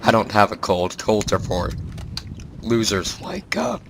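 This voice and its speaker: Man, voice worn out, deadpan teasing, casual gameplay chat, noisy game sound. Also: lowish voice, measured pace, gravelly. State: lost his voice. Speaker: let's play player.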